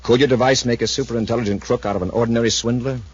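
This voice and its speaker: An actor playing an authoritative space patrol commander in a 1950s radio adventure, clipped, urgent and decisive. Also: male voice, mid-range voice, fairly even intonation, racing, husky, lightly acted. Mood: grave, urgent. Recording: muffled, noisy 1950s radio recording.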